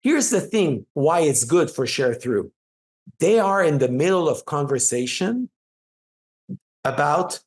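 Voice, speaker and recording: A middle-aged man with a French Canadian accent, French his first language, speaking as a guest in an interview, telling stories with emphasis and frequent restarts. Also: fairly high pitch. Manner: animated, emphatic storytelling with restarts. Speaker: PR agency founder, guest. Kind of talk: conversational interview.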